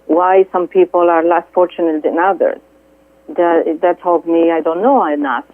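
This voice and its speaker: A middle-aged woman from Greece with a Greek accent, a guest speaking earnestly and haltingly, with many fillers, over the phone. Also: on the low side, fairly even intonation, dry recording. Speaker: guest, Reiki healer. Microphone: telephone. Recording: tinny dry phone line.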